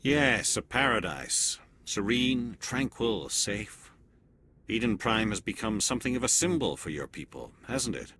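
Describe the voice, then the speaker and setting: An actor playing a cool, probing alien male Spectre agent in a video game cutscene, speaking in a measured, insinuating way. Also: lowish voice, very animated, pushed and bright, a little hiss or hum, full character voice. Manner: measured, insinuating, slow deliberate phrasing.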